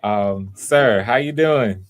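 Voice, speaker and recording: Man, lowish pitch, casual live-stream host, conversational, rambling. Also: slow pace. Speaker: audition host.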